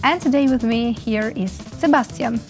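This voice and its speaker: A woman's voice: fairly high pitch, moderate pace, music in the background. A woman hosting an interview program, asking careful, friendly questions in non-native English. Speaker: interview host.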